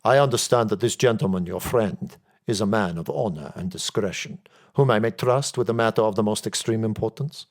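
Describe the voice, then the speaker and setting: Man playing haughty Bohemian count, German accent, deep, husky and harsh, formal, secretive, audiobook character. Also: brisk, natural voice. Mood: imperious, guarded. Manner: formal, commanding. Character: tall masked Bohemian count, imperious and secretive.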